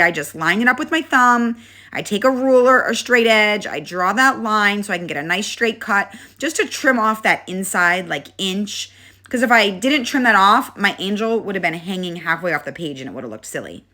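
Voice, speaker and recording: Chatty, congested woman crafter, lively pitch. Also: moderate pace. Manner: chatty, friendly, step-by-step instructions with frequent "okay?". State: congested, hoarse chest. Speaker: craft channel host. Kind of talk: casual craft tutorial.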